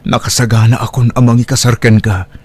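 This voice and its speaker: A man's voice: medium pitch, level tone, brisk, close to an everyday voice. A man with an Ilocano accent playing a tender, devoted middle-aged husband and single father in a sentimental audio drama. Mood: tender, earnest. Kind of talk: Ilocano radio-style audio drama acting.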